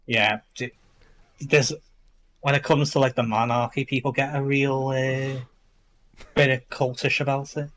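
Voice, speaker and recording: A man's voice: medium pitch, little pitch movement, unhurried, long pauses, a bit bright, slight background noise. A young man with a Liverpool accent, chatting casually and informally with plenty of slang. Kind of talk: casual chat.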